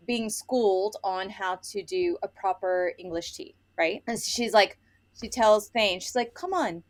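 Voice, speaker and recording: A woman co-hosting a book review podcast, chatting casually and gushingly and sometimes reading quotes aloud. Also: medium pitch. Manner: informal, chatty, gushing, frequent "like".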